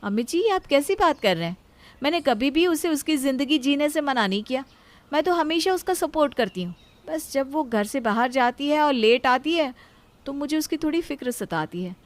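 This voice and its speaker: A woman voicing a caring, anxious elder sister-in-law in a moral story, gentle and pleading. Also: high voice, lively intonation, moderate pace, voice pushed up. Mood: earnest, protective. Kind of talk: Hindi moral story character dialogue.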